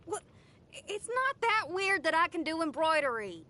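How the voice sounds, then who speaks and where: A woman's voice: very high voice, sing-song, normal speed, pushed and bright, heavily acted. An actress voicing an upbeat, brash young female rock musician in a video game, with slangy, exuberant, hammy delivery. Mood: cheerful, bashful. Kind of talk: video game character voice lines.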